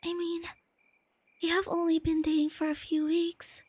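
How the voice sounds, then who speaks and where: A woman playing a shy, nerdy, easily flustered young girlfriend in a soft-spoken ASMR roleplay, with a girlish, hesitant, stammering delivery. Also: very high voice, completely flat delivery, normal speed, dry recording. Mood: nervous, sweet. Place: close dry recording with night insects behind.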